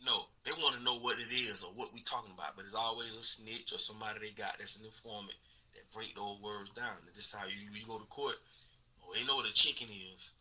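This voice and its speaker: A man's voice: mid-range voice, bright, forceful tone. An American man in his thirties giving an emphatic, casual, off-the-cuff explanation in street slang to the camera. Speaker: vlogger. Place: small room at home, indoors. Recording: noisy home recording with clicks.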